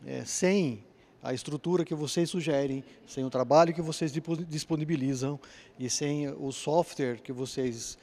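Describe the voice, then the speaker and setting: A man's voice: a little high, normal speed, darkish tone. Man presenter asking long questions. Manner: conversational, long lead-in questions. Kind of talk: interviewing. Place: large indoor event hall. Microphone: handheld mic.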